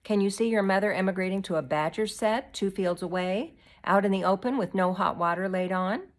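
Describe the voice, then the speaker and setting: A woman's voice: lowish voice, lively intonation, unhurried. A grim, weary storybook father, performed by a woman. He speaks with solemn reproach and plain rustic phrasing, stern toward his family. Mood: angry.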